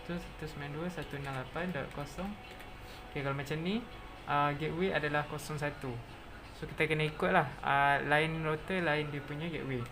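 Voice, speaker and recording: A presenter with a Malaysian accent narrating a step-by-step software tutorial, mixing in English technical terms. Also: medium pitch, steady tone, brisk, music in the background.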